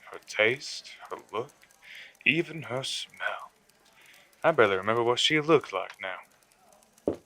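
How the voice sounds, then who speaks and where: A man acts out a sinister killer calling a late-night show in a radio drama. He is gloating and theatrical, with a sharp, forceful voice that swings widely in pitch, recorded close and dry. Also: expressive, slow pace, pauses often, dry recording, heavily acted. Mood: taunting, mock grief. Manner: taunting, theatrical, with sinister chuckles. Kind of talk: radio drama villain acting.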